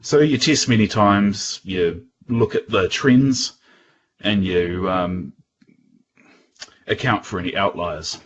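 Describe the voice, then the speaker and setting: A New Zealand man gives a casual technical talk in a fairly low voice. He speaks haltingly, stopping to rephrase as he goes. The sound is somewhat muffled, with a light layer of noise. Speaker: guest presenter at a tech user group. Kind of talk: informal technical presentation.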